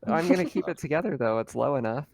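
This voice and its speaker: A man's voice: a little high, big pitch swings, fast, creaky, dry recording. A man chatting casually as a player in a tabletop roleplaying game.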